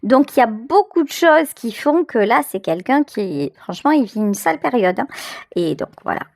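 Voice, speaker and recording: A woman with a fairly high voice reads tarot in a close, dry sound. She speaks straight to the viewer as host, improvising as she goes. Her phrasing is conversational and searching, with words repeated for emphasis. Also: very animated, normal speed, no room sound. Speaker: tarot reader, channel host. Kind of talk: intuitive tarot reading, improvised commentary addressed to the viewer.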